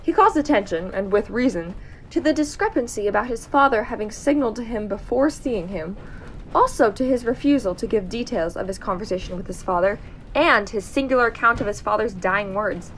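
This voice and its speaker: A woman playing an earnest male companion who narrates an amateur audio drama in a measured, literary way. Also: medium pitch, animated, a little hiss or hum, natural voice, poor audio.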